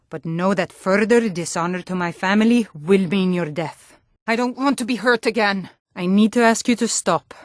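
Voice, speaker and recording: An actress playing a hardy Nordic woman of a fantasy realm in a video game, speaking in a firm, earnest, deliberate way. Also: medium pitch, no echo, studio quality, natural voice. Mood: scornful, threatening, then stern, commanding. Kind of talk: scripted fantasy video game character dialogue.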